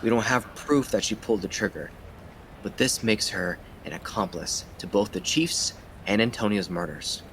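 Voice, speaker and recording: A man's voice: lowish voice, lively intonation, normal speed, fairly bright tone, a little hiss or hum, rough recording. A man acting as an earnest, methodical police detective in an audio drama. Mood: intent, reasoning.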